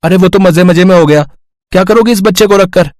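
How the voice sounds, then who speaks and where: A man's voice: high-pitched, brisk, darkish tone, no room sound, clean studio sound, natural voice. A man playing a cocky, manipulative schoolboy boyfriend in a dramatized story, casual, wheedling and dismissive. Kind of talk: dramatized Hindi moral story acting.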